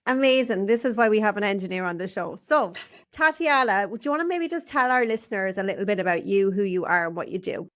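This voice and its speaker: A woman's voice: medium pitch, lively intonation, quick pace, fairly bright tone. Host, female, effusive and upbeat, interviewing a guest on a studio mic. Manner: bubbly, enthusiastic, laughs often. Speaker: podcast host. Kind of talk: conversational podcast interview. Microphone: studio microphone.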